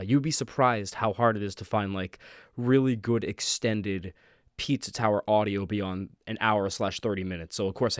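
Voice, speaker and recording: A man's voice: lowish voice, dry recording, clean studio sound. A man hosting a casual podcast who rambles off the cuff with many fillers and restarts, and sounds a bit nasal from a head cold. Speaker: podcast host.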